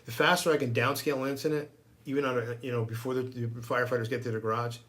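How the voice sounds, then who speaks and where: A man's voice: medium pitch, steady tone, racing, a bit bright. A man speaking as a guest fire chief in a casual discussion, plain-spoken and emphatic, repeating phrases to drive points home.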